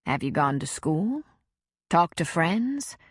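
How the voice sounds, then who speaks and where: A woman voicing a calm, patient counselor who asks gentle, probing questions. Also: on the low side, takes it slowly, slightly rough, no room sound, studio quality, full character voice.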